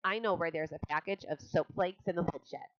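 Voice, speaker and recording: A woman's voice: fairly low pitch, normal speed, no echo, lightly acted. A woman voicing a grumpy, sulky male barn rat who grumbles sarcastically. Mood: grumbling. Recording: dull lo-fi audiobook recording.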